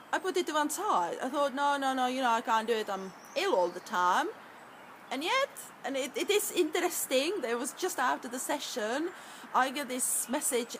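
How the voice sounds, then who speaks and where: A woman's voice: on the high side, slight background noise, low-quality recording. Soothing woman guiding tapping. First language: Czech. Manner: soft, soothing and encouraging. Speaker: EFT tapping practitioner. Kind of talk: guided tapping session and personal story.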